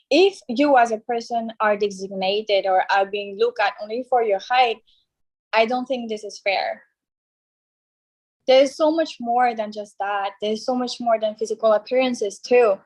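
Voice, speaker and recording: Higher-voiced young woman on a video call. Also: low-quality recording. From France. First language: Cambodian. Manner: relaxed, chatty, with frequent fillers. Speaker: pageant contestant.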